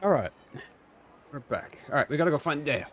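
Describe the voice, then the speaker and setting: A man's voice: fairly high pitch, sing-song, fairly quick, a little hiss or hum. A man giving casual, playful live commentary as he streams a video game.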